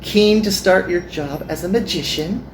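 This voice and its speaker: A man's voice: high-pitched, unhurried, echoey room, background noise, voice pushed up. A man voicing an earnest, perky young magical girl from an anime game.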